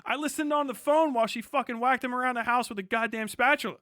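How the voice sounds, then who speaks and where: A man's voice: very high voice, quick pace, pushed and bright, no room sound, clean studio sound. A man giving a casual, blunt, profanity-heavy livestream rant as host, talking straight to his viewers. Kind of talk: casual livestream commentary, reacting to viewer chat.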